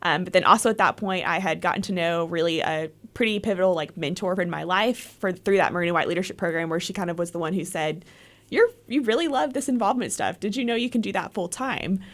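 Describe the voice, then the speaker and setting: A young woman from Texas talking as an interview guest, her voice sitting a little low for a woman. She is chatty and agreeable, filling her sentences with "like" and "um". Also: quick pace. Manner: friendly, chatty, agreeable, with frequent "like" and "um". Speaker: guest, leadership program coordinator. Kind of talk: casual interview chat.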